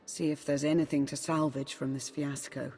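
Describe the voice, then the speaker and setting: An actress playing a cool, pragmatic advisor in a video game, speaking in a composed, dry, measured way. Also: female voice, sits low, flat delivery, a little mellow. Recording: reverberant game hall.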